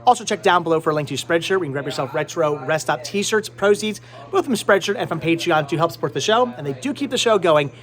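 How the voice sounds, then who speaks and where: A man's voice: fairly high pitch, expressive, fast talker, fairly bright tone. A man hosting a chatty vlog, talking informally and rambling a little as he repeats his phrases. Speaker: vlog host. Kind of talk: casual chatty vlog to camera. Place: on location at a flea market.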